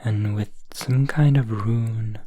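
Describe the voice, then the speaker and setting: A man telling a bedtime story in a soft ASMR whisper. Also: fairly low pitch, fairly even intonation, takes it slowly, no room sound.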